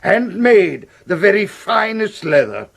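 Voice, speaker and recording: A pompous, genial villain in a British radio play, with a British accent. His voice is high and husky, and he speaks very slowly, with halting, florid courtesy and chuckles. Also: very animated, a bit bright, heavily acted. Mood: gloating. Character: jovial, pompous, chuckling gentleman villain, self-styled professor. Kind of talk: period radio drama acting.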